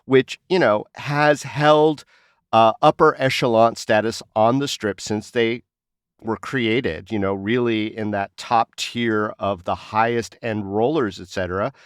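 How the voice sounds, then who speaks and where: A man's voice: on the low side, fairly even intonation, unhurried, smooth, no echo. Man co-hosting a talk podcast, dry close sound. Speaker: podcast co-host. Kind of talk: casual panel discussion.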